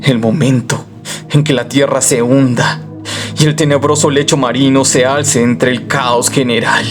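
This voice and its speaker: Music plays clearly behind an actor voicing a haunted man who is sliding into madness. His first-person narration is solemn and theatrical, in the manner of a horror radio play. The voice sits at an ordinary male pitch. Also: steady tone, measured pace, natural voice. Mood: ominous dread.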